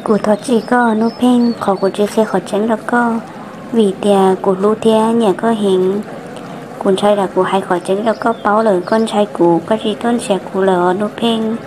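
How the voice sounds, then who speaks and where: A woman voicing a young woman in an amateur folk-tale cartoon dub, speaking in drawn-out, chanted phrases. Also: mid-range voice, steady tone, noisy background, natural voice. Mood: earnest. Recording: dub with loud background music.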